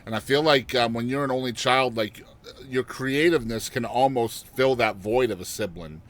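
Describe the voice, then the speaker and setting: A male podcast host runs a casual interview. He asks long, meandering questions and backs up what his guest says with quick agreements. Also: medium pitch, a bit bright. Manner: affirming, asks rambling questions.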